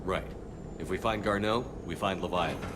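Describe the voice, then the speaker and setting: A man's voice: low voice, moderate pace, background noise, lightly acted. An actor playing a determined, no-nonsense male starship commander in a video game, terse and authoritative. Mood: focused. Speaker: commander leading a field mission. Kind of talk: video game cutscene acting.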